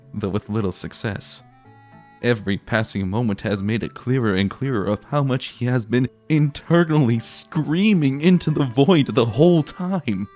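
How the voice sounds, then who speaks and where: A man reads a story aloud as a dramatic narrator. His delivery is theatrical and expressive, with a very lively pitch, and music plays behind him.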